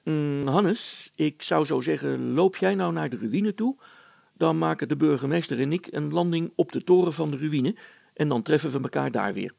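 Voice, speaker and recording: Man as a gravelly, breezy, confident village smith. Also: fairly high pitch, animated, normal speed, a little mellow, dry recording, heavily acted. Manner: breezy, reassuring, matter-of-fact. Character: cheerful, confident male village smith and inventor.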